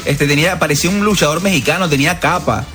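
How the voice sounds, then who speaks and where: A man's voice: a little high, fast talker, pushed and bright, soft background music. A man, a radio co-host describing things casually and a little hesitantly.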